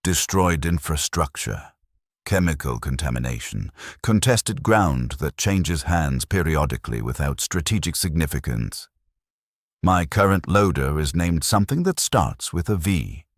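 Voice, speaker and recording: Man voicing a battle-scarred sci-fi gunner, extremely low husky voice, grim, detached, fatalistic, dramatic first-person storytelling. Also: expressive, no room sound, clean studio sound, heavily acted. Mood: detached, resigned. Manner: grim, detached, deliberate, resigned. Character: war-numbed veteran male heavy gunner, scarred, fatalistic, dystopian sci-fi soldier.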